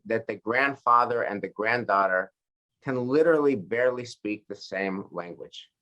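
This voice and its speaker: A man leading a study group as rabbi, talking in a thoughtful, conversational way with frequent pauses and restarts. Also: on the low side, fairly bright tone, poor audio. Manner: thoughtful, many fillers and restarts. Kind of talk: conversational teaching discussion.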